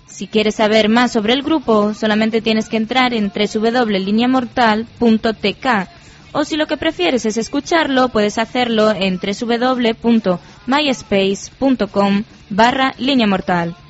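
A woman radio presenter reads her script in an even, announcing way. Her voice sits a little high, and the recording sounds dull and muffled. Soft music plays behind her. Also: a little slow.

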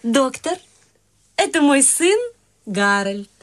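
A woman's voice: high-pitched, lively intonation, slow pace. A fussy society mother. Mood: bossy. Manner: fussy, bossy and theatrical. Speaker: radio play actress. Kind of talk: radio play acting.

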